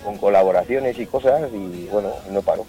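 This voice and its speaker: Male singer guest, forties, mellow low voice, loose chatty radio talk, faint music bed. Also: a little fast, rough recording. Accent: Andalusian-tinged singing drawl with Aragonese speech. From Zaragoza, Spain. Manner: chatty, rambling, colloquial. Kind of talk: casual radio interview.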